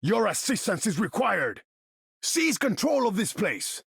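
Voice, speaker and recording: A haughty, commanding warrior king of the seas for a video game. The delivery is unhurried, pompous and theatrical, with huge melodic swings and a husky grain in the tone. The sound is close and dry. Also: high-pitched, sing-song, a bit bright, dry recording, exaggerated voice. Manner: regal, pompous, theatrical declamation in formal speech. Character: proud, imperious male sea king warrior, haughty and commanding. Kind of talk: video game character voice lines.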